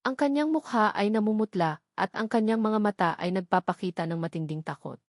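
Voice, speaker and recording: A woman narrates a scripted drama in an earnest, measured storytelling manner. Her pitch sits in the middle range for a woman, and the sound is dry, with very little room around it. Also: dry recording.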